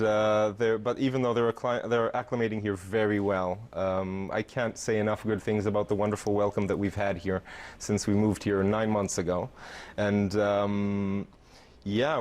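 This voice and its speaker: A middle-aged man who grew up in Israel, a theater performer answering interview questions, pausing often with fillers. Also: lowish voice, fairly even intonation. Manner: warm, hesitant with many fillers. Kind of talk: TV interview guest.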